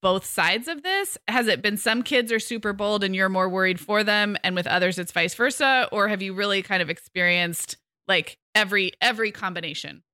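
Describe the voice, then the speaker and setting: Bright, affirming woman co-host. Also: medium pitch, brisk, hardly any pauses, no room sound, studio quality. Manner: encouraging, frequent affirming backchannels. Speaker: podcast co-host. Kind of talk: casual conversational chat.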